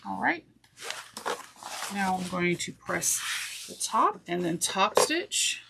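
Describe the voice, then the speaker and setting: Congested, nasal woman with a gravelly voice. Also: mid-range voice, big pitch swings, takes it slowly. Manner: chatty, self-correcting, apologetic. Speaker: sewing instructor. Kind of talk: step-by-step craft tutorial. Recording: noisy with clicks.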